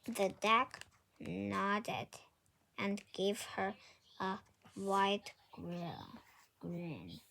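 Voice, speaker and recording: Very slow, lively-pitched woman reading a storybook. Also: vocal fry, a little hiss or hum. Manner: halting, word-by-word reading with self-corrections. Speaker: storybook reader. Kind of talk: reading a children's picture book aloud.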